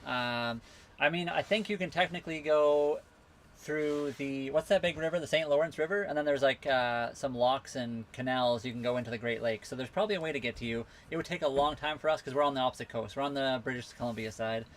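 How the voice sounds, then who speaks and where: A man from western Canada, hosting a casual live Q&A from his sailboat, reading out viewer comments and answering them in a relaxed, rambling way. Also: mid-range voice, brisk. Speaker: livestream host answering viewer questions. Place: on a sailboat at a marina.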